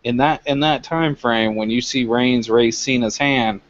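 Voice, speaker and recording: A man's voice: medium pitch, measured pace, highs cut off, slight background noise. A man chatting casually as co-host of a review show, giving opinions with a wry, teasing edge. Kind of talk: casual chat, opinionated commentary.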